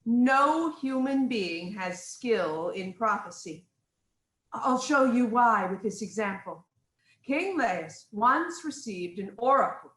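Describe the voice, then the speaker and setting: Woman doing a bossy tragic greek queen, measured and declamatory, highish voice in an echoey room. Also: a bit bright. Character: commanding queen of Thebes, scolding yet reassuring mediator. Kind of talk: virtual staged reading of Greek tragedy.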